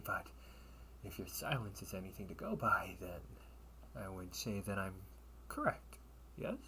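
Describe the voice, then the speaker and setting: A man's voice: on the low side, fairly bright tone, a little hiss or hum. A man playing a sly, wealthy older brother in a sci-fi audio roleplay, with theatrical, formal, teasing delivery. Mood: sly, knowing. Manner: theatrical, formal wording with teasing chuckles and drawn-out pauses. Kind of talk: solo audio roleplay addressed to the listener.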